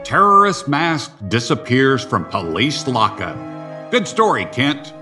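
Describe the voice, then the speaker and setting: An actor playing a gruff, knowing older newspaper editor in an audio drama. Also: male voice, medium pitch, very animated, a little slow, sharp tone, soft background music, exaggerated voice. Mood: weary, blunt.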